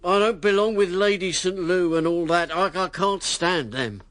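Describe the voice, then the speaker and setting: A man's voice: sits high, moderate pace, fairly bright tone, no echo. An actor playing a blunt, resentful working-class male politician in a radio drama. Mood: bitter, rankled. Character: John Gabriel, brash working-class politician, bitter about class.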